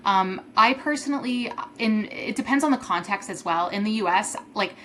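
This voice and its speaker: A woman's voice: medium pitch, lively intonation, normal speed, a bit bright, a little hiss or hum. A woman from Syria answering interview questions thoughtfully, with many ums and restarts; her first language is Arabic. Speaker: guest.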